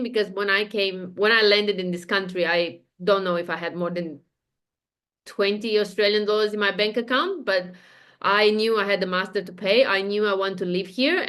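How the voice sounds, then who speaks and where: A woman's voice: mid-range voice, fairly bright tone. A woman in her thirties from Romania, with a Romanian accent, chatting as a podcast guest and telling her story with frequent fillers and light laughs. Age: 30s. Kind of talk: casual interview chat.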